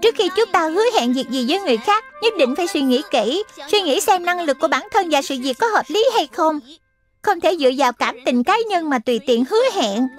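A woman's voice: high-pitched, animated, brisk. A woman voicing a small, kindly childlike guide who speaks instructively in a dubbed children's cartoon. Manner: didactic, childlike. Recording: music behind the voice.

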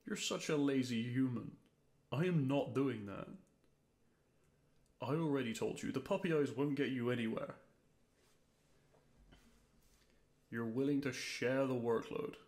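A man's voice: mid-range voice, moderate pace, darkish tone, lightly acted. A man playing a grumpy, weary dragon who is secretly fond, speaking to the listener in an exasperated, dry way in an audio roleplay. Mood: reluctant, grumbling.

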